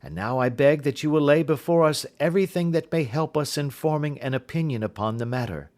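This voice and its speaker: A man voicing a brisk, confident, courteous gentleman detective in an audiobook, speaking in precise, formal Victorian phrases. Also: on the high side, voice pushed up, natural voice. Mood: courteous, businesslike. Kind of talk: audiobook character voice.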